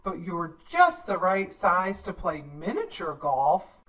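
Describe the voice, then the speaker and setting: A woman voicing a patient, good-sport father frog in a storybook, measured and gently exasperated. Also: lowish voice, sing-song, recorded from a distance, exaggerated voice.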